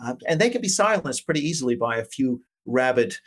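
A man speaking as an academic guest in an interview, explaining things in a measured, hedging, conversational way. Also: medium pitch, big pitch swings, fairly bright tone, husky. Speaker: academic guest, politics professor.

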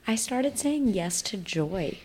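A woman's voice: medium pitch, animated, slow, a little mellow, husky. A woman in her thirties, a podcast guest talking reflectively and earnestly in casual conversation. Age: 30s. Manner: reflective, earnest, talks through thoughts with restarts.

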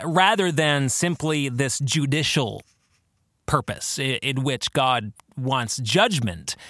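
A man hosting a podcast, explaining theology in a monologue with deliberate, emphatic phrasing. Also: on the high side, fairly bright tone, no echo, clean studio sound. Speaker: podcast host and author.

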